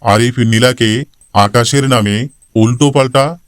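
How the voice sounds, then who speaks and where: Slow, smooth-voiced male narrator. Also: medium pitch, no room sound, studio quality. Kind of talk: scripted story narration.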